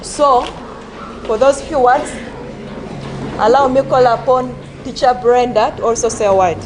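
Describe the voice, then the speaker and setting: A high-pitched woman judge addresses a school debate, reading scores and giving advice to the pupils. She talks slowly and haltingly, stumbling over repeated words and fillers. There is faint background noise. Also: steady tone. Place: school assembly.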